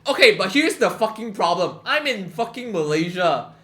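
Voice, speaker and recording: A young man talks on a live stream in a rambling, offhand way, full of fillers and casual swearing. His voice is bright and slightly high for a man. Soft music plays underneath. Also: very animated, normal speed. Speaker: livestreamer.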